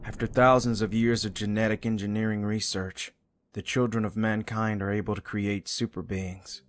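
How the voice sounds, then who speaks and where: An actor playing an earnest, intense, newly awakened angel soldier with brooding, dramatic delivery in a visual novel game. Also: male voice, on the low side, flat delivery, normal speed, close to an everyday voice. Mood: pensive. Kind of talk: visual novel voice acting, introspective narration. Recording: music behind the voice. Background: background music.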